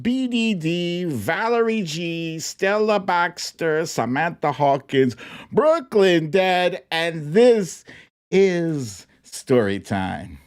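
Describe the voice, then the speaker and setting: A man hosting a live streamed show, chatting to viewers in a playful, theatrical way with drawn-out exclamations. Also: sits high, clean tone. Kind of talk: live chat-show talk, greeting viewers by name.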